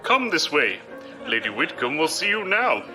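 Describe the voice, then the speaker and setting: Casting: male aide to a grand lady, polite, formal, deferential, high voice, audio drama. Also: big pitch swings, normal speed, over music. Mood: deferential, composed.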